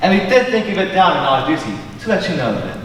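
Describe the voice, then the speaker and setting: A young man plays an earnest, formal courtier in Shakespearean style. His grand declamation is highly animated. It carries from a distance through a very echoey auditorium with faint noise. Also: quick pace. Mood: solemn, urgent. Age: young adult. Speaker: student stage actor. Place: large indoor school auditorium, on stage.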